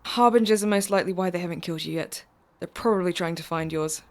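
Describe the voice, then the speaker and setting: An actress in an audio drama playing a mysterious woman driver who is calm, insistent and reassuring. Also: fairly low pitch, fast, lightly acted. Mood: earnest, knowing.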